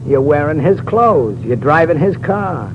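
A man's voice: on the high side, big pitch swings, measured pace. An actor playing a sly, cocky little seaman turned blackmailer in a 1940s radio mystery, smug and insinuating. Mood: smug, threatening. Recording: muffled, noisy old radio recording.

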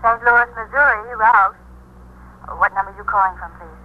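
An actress playing a brisk, impersonal telephone operator in a 1950s radio drama, formal and clipped over the line. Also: female voice, mid-range voice. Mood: businesslike. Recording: muffled, noisy 1950s radio phone line.

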